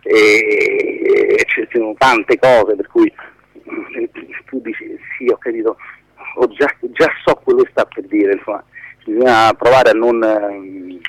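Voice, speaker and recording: A man's voice: on the low side, steady tone, measured pace, slightly rough, no room sound, rough recording. A man with a Roman Italian accent giving a casual, unscripted interview as a screenwriter, rambling and correcting himself in colloquial speech.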